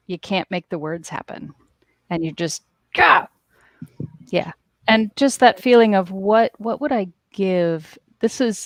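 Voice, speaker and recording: A middle-aged woman gives casual interview answers as a guest author. Her voice is fairly low with noticeable fry and a dry, close sound. She is wry and self-deprecating, often repeating a word for emphasis. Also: no echo. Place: dim indoor room at home, video call.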